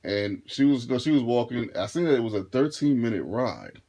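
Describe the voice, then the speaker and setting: A deep-voiced man in his thirties telling a casual storytime to his viewers, rambling and restarting his phrases as he goes. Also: sing-song, a little fast, dark tone. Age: 30s. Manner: laid-back, rambling, repeats and restarts phrases. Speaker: vlogger and rideshare driver.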